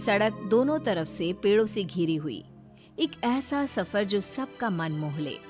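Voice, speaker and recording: A woman's voice: medium pitch, expressive, measured pace, over music. A woman narrating a scripted horror cartoon story.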